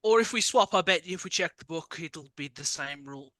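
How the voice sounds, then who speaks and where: A man's voice: on the high side, expressive, fairly quick, bright, forceful tone, no echo, clean studio sound. A man from the English Midlands chatting casually as a player in a tabletop roleplaying game.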